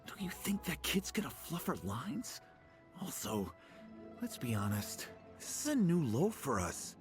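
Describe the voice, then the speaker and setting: A man playing a nervous, doubtful small-time trader with a halting manner in a video game. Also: on the high side, big pitch swings, music in the background. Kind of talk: scripted video game voice acting.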